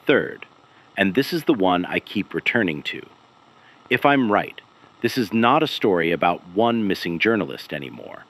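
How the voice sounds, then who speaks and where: A man narrates a scripted investigative mystery podcast, speaking in a measured, grave way with deliberate pauses. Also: sits low, sing-song, fairly bright tone, rough recording. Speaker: host of an investigative audio series.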